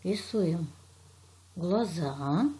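A woman, a teacher giving step-by-step instructions in deliberate, short, simple phrases. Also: lowish voice, animated, dark tone. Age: 60s. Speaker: children's art and animation teacher. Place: indoor room, plain wall.

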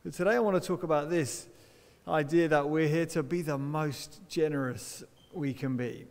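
A man's voice: on the high side, normal speed, a little mellow. A middle-aged man preaching a sermon from a church hall stage, telling stories in a conversational way with self-deprecating laughs. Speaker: pastor.

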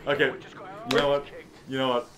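A man's voice: mid-range voice, flat delivery, a little fast. A man co-hosting a gaming channel, joking and ranting in casual banter, laughing often.

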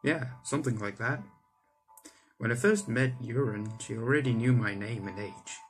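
A man's voice: mid-range voice, moderate pace. A young man, a gaming YouTuber, reading visual novel text aloud and adding his own asides. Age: young adult. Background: game music.

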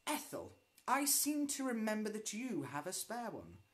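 A severe schoolmistress of witches, icy and clipped, voiced by a man who lifts his voice well above his own. She hands out orders in a tone that leaves no room for argument, with her pitch swooping about. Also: wildly swooping pitch, normal speed. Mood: stern. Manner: clipped, frosty and commanding. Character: stern, icy middle-aged woman witch teacher. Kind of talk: children's storybook reading.

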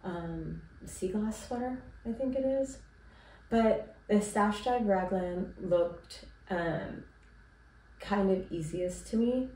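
A woman's voice: mid-range voice, slow pace, slight background noise. A woman in her thirties who is sick and congested, chatting casually and rambling with many ums as she hosts her knitting podcast from a small room at home. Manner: relaxed and rambling, with frequent ums and likes. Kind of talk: casual chat to camera. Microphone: camera at a distance. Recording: roomy, distant camera sound.

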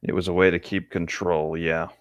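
A man's voice: deep voice, little pitch movement, moderate pace, muffled recording. A man co-hosting a read-along show, switching between casual chat and reading aloud. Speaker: co-host of a group read-along commentary show.

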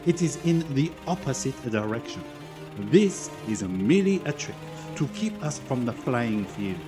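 Belgian French-accented detective, formal, shrewd, 1940s radio play with clear music behind. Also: male voice, medium pitch, very animated, unhurried. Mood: urgent. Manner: formal, theatrical, precise phrasing. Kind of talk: 1940s American radio drama acting.

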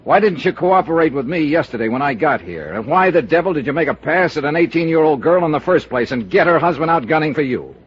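A man's voice: fairly high pitch, lively intonation, slightly rough. An actor playing a blunt, sardonic male investigator who narrates his own case in old-time radio drama. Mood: accusing, sardonic. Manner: brisk, sardonic, hard-boiled. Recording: dull vintage radio recording.

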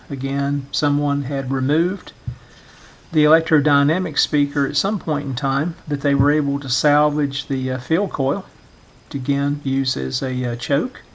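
A middle-aged or older man narrating a hands-on demonstration in a measured, explanatory way, often pausing mid-phrase. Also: fairly high pitch. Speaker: vintage radio restorer giving a demonstration.

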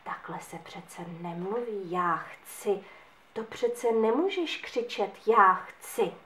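A woman voicing a gentle, kind female angel who speaks softly and soothingly. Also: medium pitch, expressive, natural voice. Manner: soft, hushed and soothing. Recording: echoey room.

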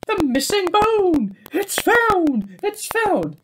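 A young man voicing excitable cartoon bear detectives from a children's storybook, exclaiming and wide-eyed. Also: extremely high pitch, drawn-out words, pitched above the performer's own voice, full character voice. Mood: excited. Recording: noisy home webcam recording.

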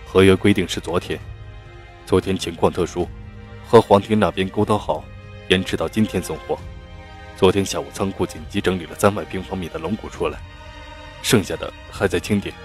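A man playing an earnest, deferential company manager in an audiobook, speaking in a measured, businesslike way. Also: deep voice, fairly even intonation, faint music behind, lightly acted. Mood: businesslike, concerned. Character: earnest, deferential male manager reporting to his boss. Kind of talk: audiobook character acting.

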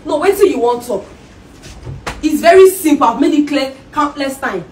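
A woman's voice: high voice, expressive, normal speed, darkish tone, far from the mic, slight background noise, rough recording. A woman with a Nigerian accent playing a stubborn, fed-up wife in a relationship drama, emphatic and repetitive. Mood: firm, insistent. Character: stubborn, fed-up young wife determined to leave. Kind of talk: relationship drama acting with Pidgin expressions.

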